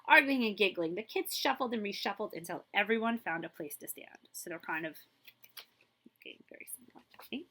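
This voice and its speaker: A woman reading a children's picture book aloud as a librarian, expressively, pausing for asides to her listeners. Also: mid-range voice, a little hiss or hum. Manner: animated, checks in with listeners.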